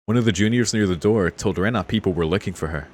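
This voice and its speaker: A man's voice: on the low side, fast, a little mellow. A man reading a visual novel aloud and giving casual, chuckly commentary as a streamer.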